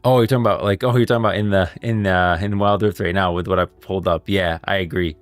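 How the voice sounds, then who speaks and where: A man's voice: low voice, steady tone, quick pace, no room sound, faint music behind. A man hosting a livestream, musing informally about game lore and chatting with viewers. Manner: informal, musing, talks to chat. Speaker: streamer host.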